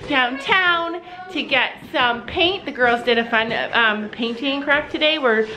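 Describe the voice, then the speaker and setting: A woman's voice: high voice, a little slow, bright, forceful tone. A woman in her forties from Canada, chatting upbeat to a handheld camera at home and at a horse barn as a family vlogger. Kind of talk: casual vlog narration. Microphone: handheld camera at arm's length. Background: background music.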